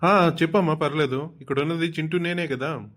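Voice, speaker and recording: A man voicing a composed, paternal company boss in a comedy cartoon, speaking in a measured and firm way. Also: fairly high pitch, a bit bright, natural voice. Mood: genial, encouraging. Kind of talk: Telugu comedy cartoon dialogue.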